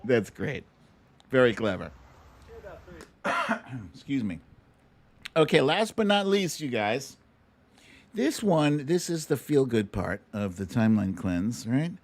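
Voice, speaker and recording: Slow-paced, animated man hosting a livestream. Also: medium pitch. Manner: playful, informal, addresses viewers directly. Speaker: host of an online live show. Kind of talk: casual live-stream chat with viewers.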